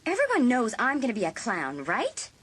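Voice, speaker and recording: A woman playing a vengeful teenage girl in a dramatised audiobook, husky and intense as she schemes in cold blood. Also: fairly high pitch, wildly swooping pitch, moderate pace, fairly bright tone, full character voice. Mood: eager, scheming. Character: vengeful teenage girl coldly plotting a murder.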